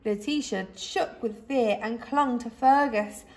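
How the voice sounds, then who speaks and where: A woman reading a children's story aloud expressively, like a teacher, with dramatic pauses and emphasis. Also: on the high side, very noisy.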